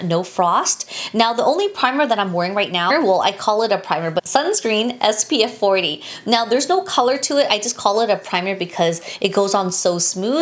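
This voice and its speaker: A young woman beauty vlogger talking casually to camera about products, her voice nasal and congested from allergies, chatty and upbeat. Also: medium pitch. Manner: chatty, upbeat, repeats and restarts phrases.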